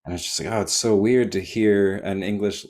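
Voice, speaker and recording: A man hosting an interview, asking questions in a relaxed, encouraging way. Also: on the low side, normal speed. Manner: relaxed, encouraging, frequent short acknowledgements. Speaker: podcast host interviewer. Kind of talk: casual interview talk.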